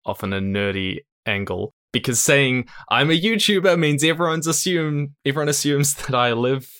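A man's voice: medium pitch, animated, normal speed, fairly bright tone. A young man with a New Zealand accent answers interview questions casually, in a chatty, informal way with frequent asides and self-corrections. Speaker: interview guest, online video creator.

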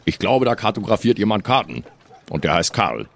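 A man playing in an improvised pen-and-paper game, speaking casually in character in a dry, measured manner. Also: on the low side, very animated, creaky.

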